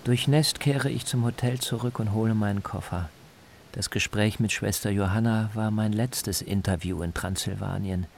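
A man's voice: on the low side, steady tone, normal speed, vocal fry, slight background noise. A man reporting and narrating a scripted radio documentary in the first person.

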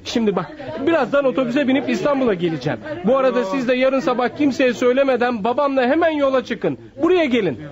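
An actor playing an excitable, scheming young grandson in a radio play, muffled, with a noisy crowd behind him. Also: male voice, very high voice, fast. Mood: excited, urgent. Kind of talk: radio theatre acting.

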